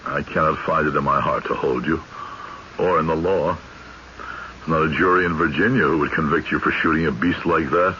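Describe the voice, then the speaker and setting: Radio drama role: humane, earnest judge, very low voice with a forceful edge, muffled vintage recording. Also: male voice, fairly even intonation, normal speed, slight background noise. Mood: grave, measured. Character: male Virginia judge, earnest, humane, easily astonished storyteller. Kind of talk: old-time radio drama narration and dialogue.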